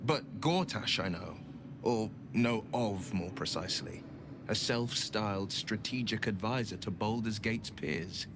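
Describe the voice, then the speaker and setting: An actor playing a noble, resolute young hero who speaks in an earnest, rousing way. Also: male voice, lowish voice, background noise. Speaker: game companion. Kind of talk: video game dialogue.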